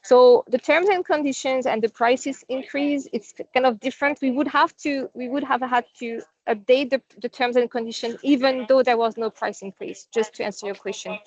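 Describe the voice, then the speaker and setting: Female call presenter, non-native English, slightly high voice, informal and explanatory, very little room sound. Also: moderate pace, hardly any pauses, no room sound. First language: not English. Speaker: presenter leading a company conference call. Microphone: online conference call. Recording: dull, dry online call audio.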